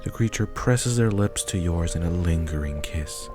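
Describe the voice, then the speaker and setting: Male narrator, deep voice, scripted storytelling over a faint music bed. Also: expressive, moderate pace.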